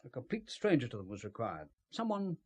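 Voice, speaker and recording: An actor playing a cagey, ruthless British spymaster in an audio drama, with formal, understated speech and a husky voice. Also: male voice, mid-range voice, wildly swooping pitch, brisk, darkish tone. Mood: smooth, calculating.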